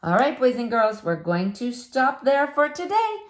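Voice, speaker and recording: A woman narrating a children's storybook aloud with expressive, dramatic, deliberate phrasing. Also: fairly high pitch. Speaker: storybook reader.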